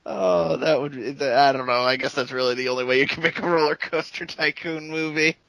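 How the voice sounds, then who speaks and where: A man's voice: mid-range voice, fairly even intonation, a little fast, fairly bright tone, no room sound. A man co-hosting a casual gaming podcast, rambling and filling his sentences with "like". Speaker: podcast co-host. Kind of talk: casual chat.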